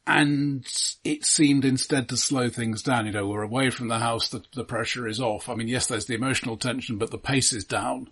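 A man co-hosting a film podcast, giving measured, understated opinions in casual conversation. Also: medium pitch, fairly even intonation, fairly bright tone, breathy edge.

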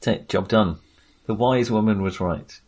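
A man's voice: lowish voice, normal speed, a little mellow, husky. A man co-hosting a film podcast in casual discussion, talking in a hesitant, rambling way and often correcting himself.

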